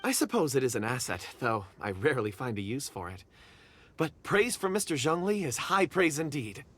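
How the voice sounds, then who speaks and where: A man's voice: mid-range voice, very animated, moderate pace, a bit bright, a little hiss or hum. A man voicing an eager, polite young male helper in a video game, modest and earnest. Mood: pleased. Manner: earnest and modest, easily delighted.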